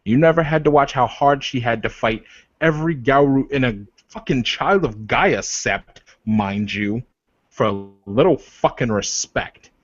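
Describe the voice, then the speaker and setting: A man's voice: medium pitch, animated, unhurried, no echo. Man playing a proud, insistent werewolf leader, muffled recording. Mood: earnest, impassioned. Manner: insistent, rhetorical questions, repeats phrases for emphasis, swears. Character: proud, protective male werewolf leader arguing passionately for respect. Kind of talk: improvised in-character roleplay dialogue. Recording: dull, lo-fi voice recording.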